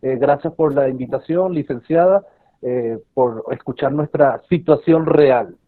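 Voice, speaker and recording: A man's voice: mid-range voice, a little slow. A man from Caracas with a Venezuelan accent, a civil association representative giving an earnest, hesitant advocacy statement full of fillers over a video call. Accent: Caracas Venezuelan Spanish. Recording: tinny phone-like line.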